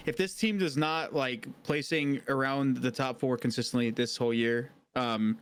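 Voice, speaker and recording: A man's voice: medium pitch, fairly even intonation, moderate pace, a bit bright, clear, resonant tone. A young man on an esports panel, giving blunt opinions and swearing casually.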